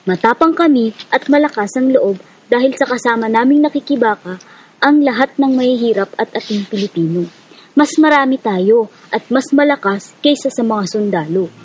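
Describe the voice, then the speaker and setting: A woman with a Filipino accent playing a kindly, determined guerrilla comrade with a slightly husky voice in a radio drama. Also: a little high, lively intonation, moderate pace, poor audio. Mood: resolute.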